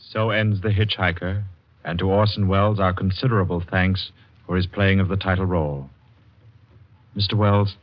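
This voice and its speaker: A man announcing for a network radio show in a formal, crisp and measured 1940s style. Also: low-pitched, steady tone. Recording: dull 1940s radio broadcast.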